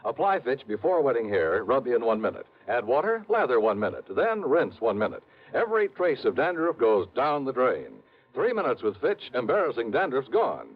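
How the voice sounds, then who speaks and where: A male announcer reading a brisk 1950s radio commercial on a tinny old broadcast. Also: mid-range voice, wildly swooping pitch. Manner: brisk, selling.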